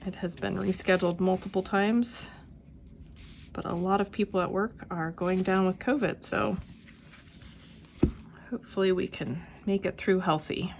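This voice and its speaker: Slow, gravelly woman with a cold. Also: medium pitch, fairly even intonation, a little hiss or hum. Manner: unhurried, musing aloud while crafting. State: has a cold, slightly hoarse. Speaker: planner vlogger. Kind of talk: casual plan-with-me narration. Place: quiet home.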